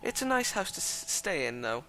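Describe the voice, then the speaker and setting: A man voicing a soft-spoken, polite young woman. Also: fairly high pitch, big pitch swings, faint music behind, poor audio. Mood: gentle. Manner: soft, courteous, measured.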